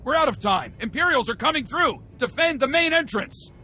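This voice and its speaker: An actor playing a brisk army lieutenant in a video game, with a clipped military delivery. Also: male voice, very high voice, animated, sharp tone, a little hiss or hum, heavily acted. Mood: shouting, urgent. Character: brisk, urgent army lieutenant holding a besieged base. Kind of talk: video game cutscene acting. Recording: game music under the voice.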